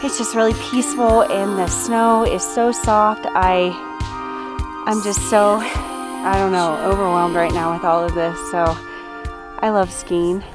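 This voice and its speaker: A young woman chatting excitedly to a handheld camera outdoors. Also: medium pitch, unhurried, over music. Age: young adult. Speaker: vlogger's partner. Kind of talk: casual chat. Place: outdoors on a ski slope and in a truck.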